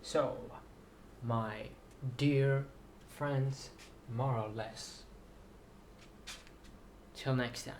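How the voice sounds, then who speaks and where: A man reciting his own poetic story in a solemn, deliberate manner, with broken phrases and long pauses. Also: mid-range voice, steady tone, slight background noise. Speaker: writer reading own story.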